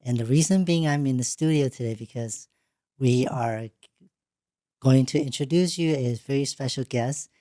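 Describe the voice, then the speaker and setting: A woman, not a native English speaker, hosting an interview in a studio, talking in a halting way with frequent restarts. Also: very deep voice, dark tone, no echo. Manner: halting, restarts often, plain unpolished English. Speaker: podcast host. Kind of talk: casual interview.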